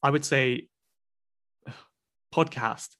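A man with a southwest English accent, an English-teaching podcast host, speaking in a thoughtful, measured way in casual chat. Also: medium pitch, pushed and bright.

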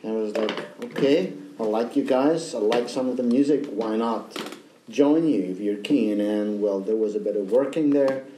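Man from Greece, low mellow voice, talking from off-mic in an echoey room. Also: normal speed, recorded from a distance, slight background noise. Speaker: band member. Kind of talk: casual interview chat.

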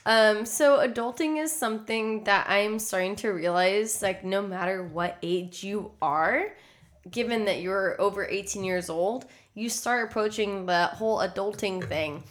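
A woman's voice: medium pitch, a little slow. A woman in her twenties with an American accent, co-hosting a podcast in casual chat with a chatty, jokey, exclamatory way of talking. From Texas, United States. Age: 20s. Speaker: podcast co-host.

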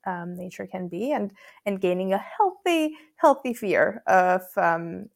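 Creaky-voiced woman from Croatia. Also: medium pitch, lively intonation, unhurried. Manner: thoughtful, with many restarts and ums, laughs easily. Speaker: podcast guest. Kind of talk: casual chat.

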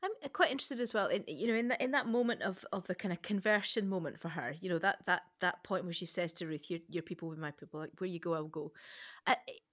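A woman hosts a conversational faith discussion at a quick pace. She feels her way tentatively into questions and restarts phrases as she goes. The sound is dry, with little room around her. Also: fairly low pitch, fairly bright tone, dry recording. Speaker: podcast host.

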